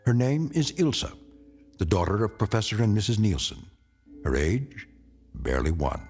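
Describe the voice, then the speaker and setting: Deep, ominous male storyteller over prominent music. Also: sing-song, creaky, a little mellow. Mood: grave, ominous. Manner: measured, portentous. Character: grave, ominous male narrator of eerie tales. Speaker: narrator. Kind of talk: dramatic scripted radio drama narration.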